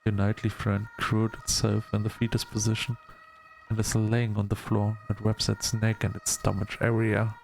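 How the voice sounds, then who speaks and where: A man hosting a gaming video, reading story text aloud in a halting, measured storytelling delivery. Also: on the low side, completely flat delivery, creaky, darkish tone, faint music behind. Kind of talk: let's-play narration, reading game text aloud.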